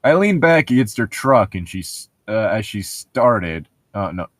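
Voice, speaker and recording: Low-voiced young man, animated and sarcastic. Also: unhurried, dry recording. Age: young adult. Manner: sarcastic, laughs readily, swears freely. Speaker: co-host reading and mocking a book. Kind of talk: casual banter and mock read-aloud.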